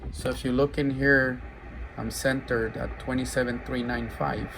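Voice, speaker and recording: A man giving a casual, hands-on demo of gear he is selling, talking haltingly as he thinks aloud. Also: mid-range voice. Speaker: radio seller demonstrating gear. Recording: clear background noise, steady hum.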